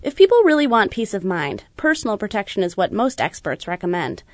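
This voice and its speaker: A woman reporter reads a radio news feature in a steady, measured way. Her voice sits at an ordinary middle pitch. The studio sound is close and dry, with no room around it. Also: no room sound. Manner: measured, even reporting delivery. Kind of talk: scripted news narration.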